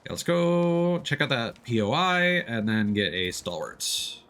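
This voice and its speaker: Animated man streaming a video game. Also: mid-range voice, measured pace. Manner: playful, profane exclamations and sound words. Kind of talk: casual gameplay commentary. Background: video game sound effects.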